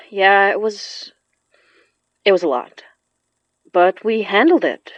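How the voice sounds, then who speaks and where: A woman's voice: mid-range voice, animated, slow, dry recording, highs cut off. A dry, weary young witch. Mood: defensive, weary. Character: tense, tired young woman healer-witch, wry and protective. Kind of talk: naturalistic audio drama acting.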